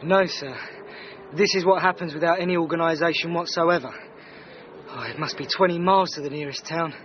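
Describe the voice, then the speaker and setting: An actor as a high-voiced, deferential manservant. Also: male voice, a little fast, dull audio, a little hiss or hum, natural voice. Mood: dryly patient. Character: deferential, dryly sensible manservant. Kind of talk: radio drama acting.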